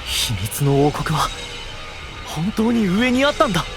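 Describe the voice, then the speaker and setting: An actor playing a courteous, gentle young knight in an anime-style audio drama, with an earnest, polite manner. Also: male voice, high-pitched, very animated, unhurried, over music. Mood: surprised.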